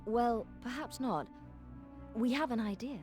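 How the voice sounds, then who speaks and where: A woman playing a composed, capable military intelligence aide, reporting crisply and formally in a video game cutscene. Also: fairly high pitch, measured pace, over music, natural voice. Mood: confident.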